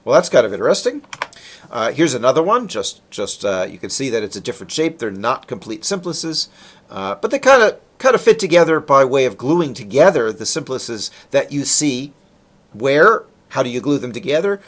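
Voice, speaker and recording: A man presents a technical talk with slides in a conversational way. His pitch moves up and down in a lively fashion, and he often puts a question to his listeners and then answers it himself. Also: moderate pace. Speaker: lecturer, researcher presenting. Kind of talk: informal technical lecture with slides.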